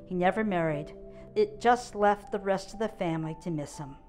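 A woman's voice: medium pitch, moderate pace, darkish tone. Older woman reading aloud over music. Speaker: guest reader. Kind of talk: reading a written memoir aloud. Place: indoor gallery room.

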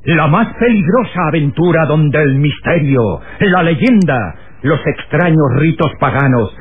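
A man announcing a vintage radio serial in a theatrical, emphatic, drawn-out manner. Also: fairly high pitch, animated. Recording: muffled old radio broadcast. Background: loud music.

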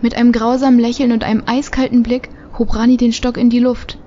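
A woman's voice: a little high, little pitch movement, a little fast, warm tone, muffled recording, a little hiss or hum. A woman narrating a scripted audio drama as its storyteller.

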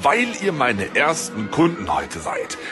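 A man's voice: mid-range voice, very animated, a little slow. A man playing a wheedling, haughty yet easily flustered bread merchant in a fantasy audio drama. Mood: salesmanlike. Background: music.

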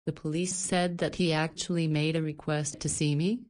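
A woman's voice: sits low, little pitch movement, moderate pace, a little mellow, close to an everyday voice. An actress playing a caring, flirty woman in a visual novel, chiding and teasing like a doting guardian. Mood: disgusted, indignant.

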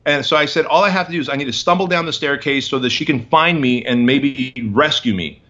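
A forceful, bright-toned man in his forties tells his story as an interview guest, hammering his points home like a motivational speaker. He talks over a video call from his office, and the audio sounds a little dull and muffled. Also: mid-range voice, brisk. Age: 40s. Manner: emphatic, punchy motivational delivery. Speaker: guest entrepreneur. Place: office with bookshelves, indoors. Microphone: video call webcam.

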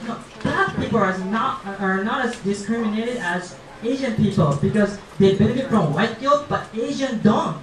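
A young man from Japan with a Japanese accent performs a stand-up comedy routine in halting, simple phrases. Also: high-pitched, animated. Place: small comedy club stage, indoors. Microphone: handheld mic, picked up by far camera. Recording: echoey club, distant, audience noise. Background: audience laughter.

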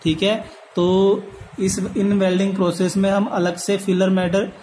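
A man's voice: high voice, little pitch movement, a little slow, warm tone, slight background noise. A man with an Indian accent giving a lecture from notes, mixing in English terms and repeating points as he explains step by step. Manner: switches between Hindi and English terms. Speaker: polytechnic lecturer.

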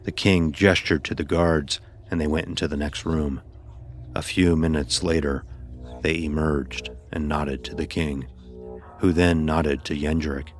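A man narrating a scripted first-person horror story in a grave, deliberate storytelling manner. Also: extremely low pitch, steady tone, soft background music.

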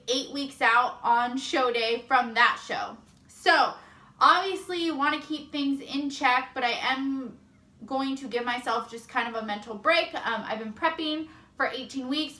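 Bright, high-pitched young woman vlogger. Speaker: fitness vlogger. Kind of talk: casual chat to camera. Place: indoors at home, kitchen and bedroom. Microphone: camera or phone a short distance away. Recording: roomy echo of home interior.

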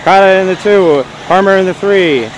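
A man's voice: high voice, a little hiss or hum, low-quality recording. A man giving casual play-by-play commentary on positions and crashes at an outdoor race. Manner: casual, quick running positions.

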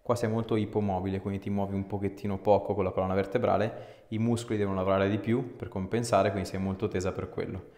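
Man, 30s, chiropractor; deepish voice, brief informal instructions, very reverberant treatment room. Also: level tone, fast talker, mellow. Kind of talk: giving instructions and casual explanations to a patient. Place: small indoor treatment room. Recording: very echoey small room.

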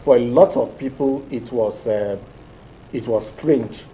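A middle-aged Nigerian man, an electoral official, answering interview questions in a TV studio in a deliberate, hesitant way with many fillers. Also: medium pitch, warm tone, a little hiss or hum, poor audio. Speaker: electoral commission official, guest.

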